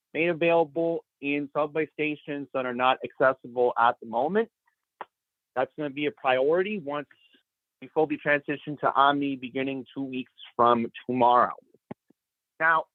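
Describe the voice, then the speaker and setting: A man delivers public comment in a brisk, urgent way. His voice sits a bit high and climbs as he pushes his demands. The sound is narrow and tinny, close and dry with no room around it. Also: no echo. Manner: brisk, urgent, rising in pitch as he presses points. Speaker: public commenter for a rider group. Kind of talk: prepared public comment at a board meeting.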